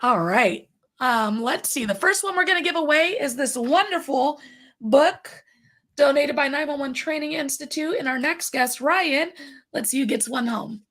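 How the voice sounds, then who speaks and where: A woman with a raspy but high voice hosts a live podcast stream. She talks in a bubbly, rambling way, full of fillers and little laughs. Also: expressive, moderate pace, a bit bright. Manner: bubbly, chatty, many fillers. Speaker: podcast host. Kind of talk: casual live-stream chat.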